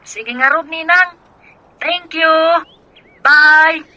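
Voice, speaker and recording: Lady doing a polite young ilocano godson, super bright high voice, slow, radio drama. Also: heavily acted. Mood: grateful.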